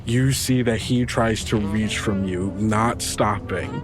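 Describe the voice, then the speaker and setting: A man's voice: fairly low pitch, steady tone, takes it slowly, music in the background. A man narrating a tabletop horror game dramatically as game master.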